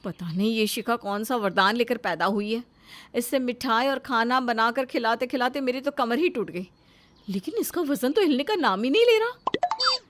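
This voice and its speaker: A woman's voice: a little high, expressive, moderate pace, no room sound, close to an everyday voice. A woman voicing a resentful, falsely sweet elder sister-in-law, complaining dramatically in a moral story. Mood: exasperated. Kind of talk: animated Hindi moral story dialogue.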